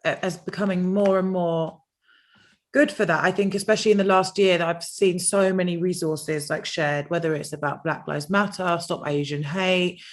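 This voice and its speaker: A woman's voice: on the low side, fairly even intonation, normal speed. A woman hosting a panel discussion, leading into her questions with long, winding setups. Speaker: panel host.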